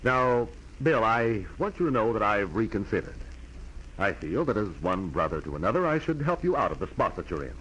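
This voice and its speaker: An actor playing a pompous, brusque, money-minded older brother in a 1940s American radio drama. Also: male voice, lowish voice, very animated, fairly quick, a bit bright, slightly rough, full character voice, low-quality recording. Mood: brusque, smooth-talking.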